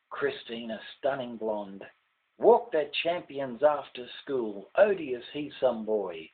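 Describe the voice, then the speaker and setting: A man's voice: mid-range voice, breathy edge, narrow, tinny audio. An older Australian man recites a rhymed ballad in a declamatory, sing-song way, letting his voice fall at the ends of lines. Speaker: poet reading own poem.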